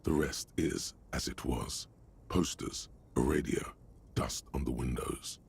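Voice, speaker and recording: A man voicing the omniscient narrator of a detective video game, delivering scripted descriptions in a slow, weighty way. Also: extremely low pitch, a little mellow, a little hiss or hum. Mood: calm.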